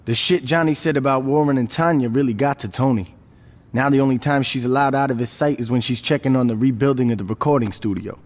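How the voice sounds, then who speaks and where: A man's voice: medium pitch, quick pace, close to an everyday voice. A man playing a sensible gang strategist in a video game cutscene, reproving and explaining with exasperated patience. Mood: serious. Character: level-headed, exasperated male gang strategist.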